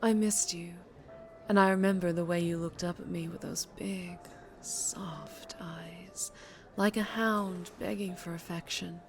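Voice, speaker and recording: Woman voicing a female crime boss, lowish pitch, sultry drawl, teasing menace, ASMR roleplay, background music. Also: fairly even intonation, a little slow. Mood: seductive, coaxing.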